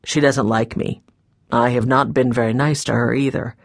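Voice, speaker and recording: A kindly old grandfather from a children's storybook, voiced by a woman, weary and troubled. The voice is pitched low and husky, with a subdued sadness. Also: steady tone, normal speed, no room sound, clean studio sound, exaggerated voice. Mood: troubled, reflective. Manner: tired, sad, subdued. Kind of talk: children's audiobook character voice.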